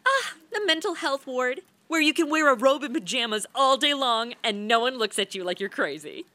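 A woman playing a brash, shameless, sarcastic woman who wisecracks deadpan in a comic radio play. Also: high-pitched, moderate pace, no echo. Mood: sardonic. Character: brash, shameless, self-absorbed sarcastic woman, Miss Standish.

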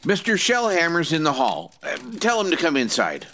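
An actor playing a pompous, nervous and easily irritated company examiner in a comic radio drama. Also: male voice, fairly high pitch, wildly swooping pitch, moderate pace, bright, forceful tone, a little hiss or hum, exaggerated voice. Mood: officious. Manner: officious, pompous, clipped questioning.

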